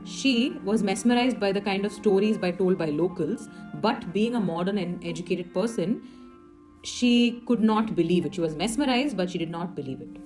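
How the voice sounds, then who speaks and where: A woman's voice: mid-range voice, moderate pace, a little mellow, rough recording. Woman telling horror tales over music. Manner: explanatory, rhetorical questions and dramatic emphasis. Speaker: storyteller. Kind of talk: semi-scripted horror storytelling. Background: music under the voice.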